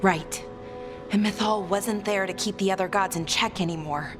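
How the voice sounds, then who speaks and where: A woman playing a pragmatic, thoughtful elf companion in a fantasy game, measured and reflective. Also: mid-range voice, over music, natural voice. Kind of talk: naturalistic game dialogue.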